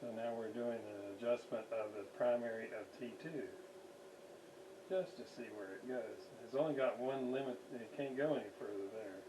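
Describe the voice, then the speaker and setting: Guy doing a slow unscripted bench demo, thinking out loud, mic a bit far off, faint noise behind him. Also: medium pitch. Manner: halting, thinking aloud while working. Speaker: radio restoration hobbyist, demonstrator. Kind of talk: unscripted hands-on bench demonstration.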